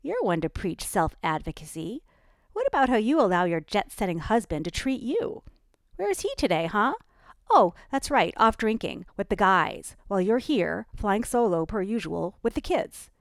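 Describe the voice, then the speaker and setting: A woman's voice: medium pitch, big pitch swings, measured pace, creaky, dry recording, clean studio sound, voice pushed up. A woman voicing Ellie, a defensive, fiery young mother, in an audiobook reading. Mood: heated. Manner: heated, pleading then hushed and contrite.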